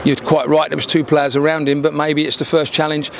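A man's voice: on the high side, little pitch movement, brisk. An older man in his sixties, a football manager giving a candid post-match interview outdoors into a handheld mic, often repeating words as he restarts. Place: outdoors by a dark wall at a football ground.

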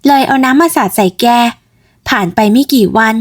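A woman voicing a cheerful, polite young village woman in a storybook tale, with sweet, animated phrasing. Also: on the high side, fairly quick, slightly rough. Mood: gossipy, excited. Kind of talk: storybook character voice.

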